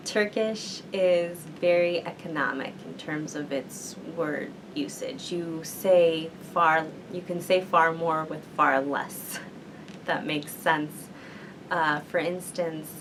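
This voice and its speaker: A young woman student speaks in a fairly low voice, thinking aloud as she answers questions and pausing on fillers. A steady hum and rumble sit under the recording. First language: English. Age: young adult. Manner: thoughtful, many ums and likes. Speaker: university student. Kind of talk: interview answers.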